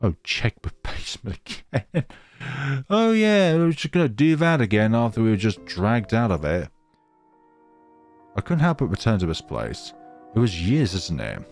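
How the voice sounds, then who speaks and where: Male game host, lowish voice, very varied intonation, dramatic reading, wry asides, music underneath. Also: very animated, normal speed, a little mellow, dry recording. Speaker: gaming video host. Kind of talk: reading a visual novel aloud with casual commentary.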